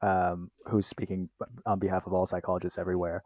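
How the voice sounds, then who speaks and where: Skeptical young man, low voice. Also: little pitch movement, quick pace, darkish tone, dry recording, highs cut off. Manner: frank and skeptical, with fillers and restarts. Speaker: podcast co-host. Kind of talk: casual chat.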